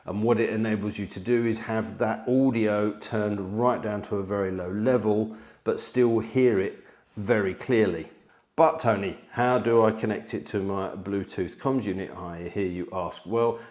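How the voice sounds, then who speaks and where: A man in his forties with a fairly low voice talks through a product for viewers. His delivery is conversational and explanatory. He is recorded indoors on a close mic. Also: darkish tone. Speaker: product presenter. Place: indoor studio, seated at a table.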